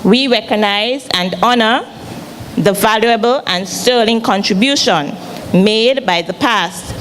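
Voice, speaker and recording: A woman's voice: medium pitch, unhurried, a bit bright, slight background noise, low-quality recording. A woman delivering a formal prepared speech as a ministry representative.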